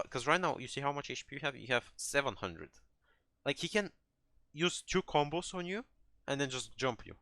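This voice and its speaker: A man's voice: mid-range voice, pushed and bright, no echo. A man coaching a player through a game replay in a conversational, explanatory way, restarting phrases and often checking that he is followed. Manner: hesitant phrasing with many restarts, checking understanding with "right?". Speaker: gaming coach.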